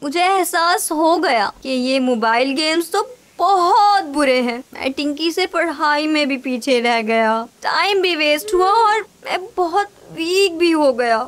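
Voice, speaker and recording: A woman's voice: sits high, animated, a little slow, few pauses, fairly bright tone. A woman voicing a boastful, mischievous young boy in a children's cartoon, theatrical and whiny when in trouble. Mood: remorseful.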